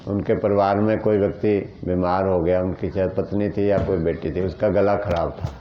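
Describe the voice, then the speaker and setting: A man's voice: sits low, fairly even intonation, normal speed, dull audio, slight background noise. Earnest man teaching a natural health group. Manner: earnest, explaining plainly with rhetorical questions. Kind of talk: teaching talk answering questions.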